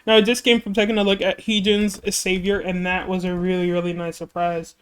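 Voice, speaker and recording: Rambly reaction streamer, lots of likes and ums, smooth voice but throat kinda going. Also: mid-range voice, little pitch movement, fairly quick. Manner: rambling and chatty, many fillers and self-interruptions. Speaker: music video reaction streamer. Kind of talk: casual chat to camera.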